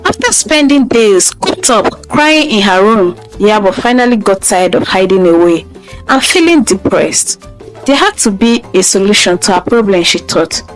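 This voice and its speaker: A woman's voice: mid-range voice, lively intonation, moderate pace, low-quality recording. A woman storyteller reading a scripted folktale narration. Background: music.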